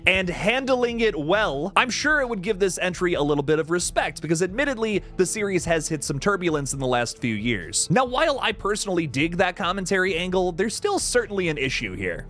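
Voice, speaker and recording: A man's voice: high voice, a bit bright. A man hosting a video essay on movie news, talking in a colloquial, opinionated way with some mildly crude slang. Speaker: YouTube host and commentator on horror films.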